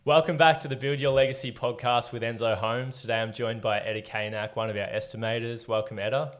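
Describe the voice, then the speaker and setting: A man's voice: medium pitch, fairly even intonation, fairly quick, fairly bright tone, soft background music. Upbeat man hosting a podcast. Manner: upbeat, encouraging interviewer. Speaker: podcast host. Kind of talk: casual podcast interview.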